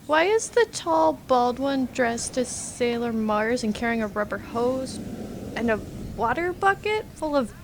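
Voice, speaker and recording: A woman's voice: fairly high pitch, very animated, unhurried, gravelly, noisy background, exaggerated voice. A woman playing a suspicious, blunt young female client of a space crew in a comedic audio drama. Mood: matter-of-fact. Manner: blunt, matter-of-fact.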